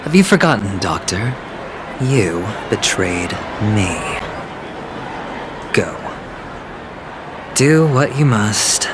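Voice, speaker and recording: A man's voice: on the low side, big pitch swings, very slow, long pauses, noisy background, exaggerated voice. A man playing a flamboyant young gambler in a video game, sly and smug, with teasing, theatrical delivery. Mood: taunting. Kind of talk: scripted video game voice acting. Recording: background music under the voice.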